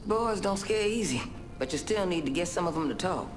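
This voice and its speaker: An actress playing a brash, salty American street informant in a video game, with a gravelly voice and a folksy, sardonic way of talking. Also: female voice, on the low side, lively intonation, brisk, slight background noise, natural voice. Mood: sardonic, spiteful. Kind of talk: video game cutscene acting.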